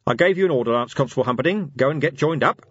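A man plays a stern, sardonic commander in a fantasy storybook. His voice is husky and animated, with terse, commanding phrasing. It is recorded very dry. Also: medium pitch, racing, dry recording, dull audio, voice pushed up.

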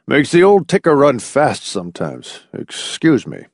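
A man's voice: mid-range voice, very animated, measured pace, vocal fry, dry recording, studio quality, pitched above the performer's own voice, exaggerated voice. A man voicing a bewildered old male scientist in an audiobook, hesitant, stammering and genial. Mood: flustered. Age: older.

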